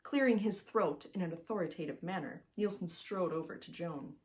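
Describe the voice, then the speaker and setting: Expressive woman reading a children's storybook. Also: fairly low pitch, moderate pace. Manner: addresses the listener directly, emphatic storytelling. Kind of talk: expressive scripted storybook narration.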